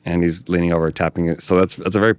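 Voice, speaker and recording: Young guy from hawaii, real deep voice, calm storytelling, dry close sound. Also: rapid-fire, clean tone, dry recording. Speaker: podcast guest. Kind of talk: personal storytelling in conversation.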